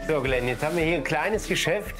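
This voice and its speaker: A man's voice: on the high side, animated, brisk, music in the background. Enthusiastic male TV cook chatting casually. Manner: enthusiastic, exclaiming. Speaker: TV cook and show host. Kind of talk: casual on-location chat while cooking.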